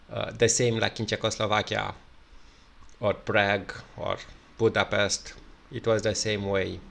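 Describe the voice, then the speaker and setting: A man with a Romanian accent, speaking English in an unscripted solo commentary, halting with many fillers and restarts. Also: on the low side, gravelly, fairly bright tone. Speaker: video commentator.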